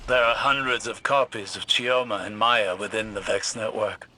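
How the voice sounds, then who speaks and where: An actor playing a serious male mission guide in a video game, giving briefings in a measured, instructive way. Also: on the low side, steady tone, pushed and bright. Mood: focused, serious. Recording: music under the voice.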